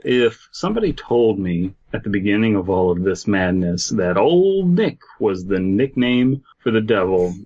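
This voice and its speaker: A man with a fairly low voice chats casually as a podcast co-host. He riffs on jokes in a loose, amused way. The sound is a bit dull and lo-fi. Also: darkish tone. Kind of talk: casual comedic banter and read-aloud.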